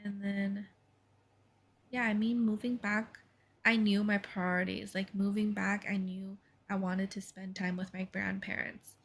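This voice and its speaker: A young woman with a North American accent chats loosely on a podcast, telling her story with plenty of fillers. She acts out her own past reactions in quick quoted asides. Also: medium pitch, level tone, breathy edge. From Taiwan. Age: 20s. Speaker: podcast co-host. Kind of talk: casual chat.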